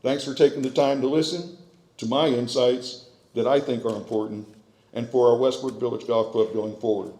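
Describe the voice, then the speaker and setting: An American man in his sixties delivers a prepared speech from a podium in an echoey hall. His voice is mellow and mid-pitched. He speaks in a measured, earnest manner with clear emphasis. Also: steady tone. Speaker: board candidate giving a speech. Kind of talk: prepared public speech, read from notes. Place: large meeting hall with stage, indoors. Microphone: podium gooseneck microphone.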